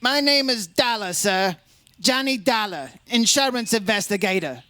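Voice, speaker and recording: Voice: medium pitch, animated, moderate pace, bright, forceful tone, no room sound. An actor playing an unflappable insurance investigator, narrating a case in old radio detective style, wry and matter-of-fact. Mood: businesslike. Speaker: narrator and lead character.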